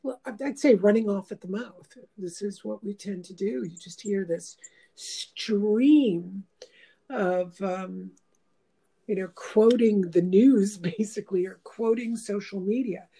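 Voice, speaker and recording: A woman's voice: mid-range voice, slow pace, vocal fry, dark tone, breathy edge, poor audio. A woman hosting a casual chat, talking in a meandering, reflective way with many fillers. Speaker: host.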